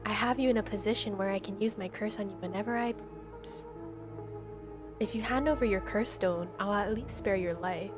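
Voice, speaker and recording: Female player acting eerie threatening woman, commanding, condescending, impatient, horror game character, soft music underneath. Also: mid-range voice, normal speed, lightly acted. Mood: quietly threatening.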